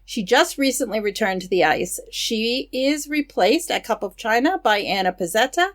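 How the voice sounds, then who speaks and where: A woman co-hosting a podcast, reading news items in a steady, matter-of-fact way with casual asides. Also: fairly high pitch, normal speed. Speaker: podcast co-host.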